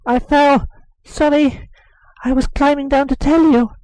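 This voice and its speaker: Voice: high voice, little pitch movement, unhurried, a little mellow, no room sound, voice pushed up, rough recording. A reader voicing an eager, clever young boy who talks in an insistent rush. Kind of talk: audiobook character voice.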